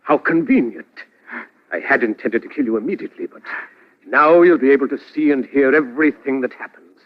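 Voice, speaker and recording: A man's voice: mid-range voice, expressive, fairly quick, close to an everyday voice. An actor playing a vengeful mad scientist in 1940s radio drama style, menacing and theatrical, savoring his words. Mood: gloating. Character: vengeful mad male scientist, gloating sadistic villain. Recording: muffled old-time radio recording.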